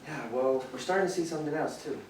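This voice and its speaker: An actor playing a smug sidekick bully in a stage play, delivering compliments with false friendliness. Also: male voice, on the high side, fast talker, lightly acted. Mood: falsely friendly. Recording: very echoey stage, distant mic, faint noise.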